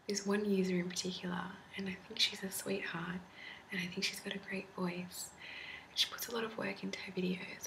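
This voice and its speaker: A woman with an Australian accent whispering softly into a close mic for an ASMR talking video, rambling with frequent hesitant ums. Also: medium pitch, gravelly. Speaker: ASMR creator. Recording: steady background noise.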